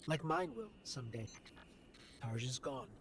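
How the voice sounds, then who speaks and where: A man's voice: medium pitch, big pitch swings, a little slow, a bit bright, slight background noise, full character voice. A man playing an earnest, grieving guide in a scripted video game. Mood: somber, resolute. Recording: game sound behind, clearly audible.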